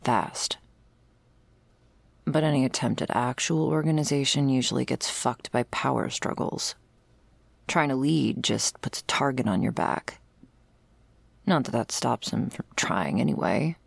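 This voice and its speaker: A woman playing a jaded, sardonic vampire's servant in an intimate ASMR roleplay, talking in a wry, world-weary, gravelly way. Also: very deep voice, unhurried, long pauses, no echo, exaggerated voice. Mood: wry, cynical. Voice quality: very creaky, gravelly. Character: jaded, sardonic female vampire's servant explaining undead lore.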